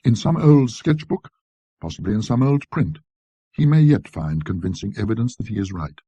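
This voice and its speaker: A man narrating a classic ghost story in a precise, scholarly, measured manner. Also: fairly low pitch, big pitch swings, warm tone, breathy edge, dry recording, highs cut off.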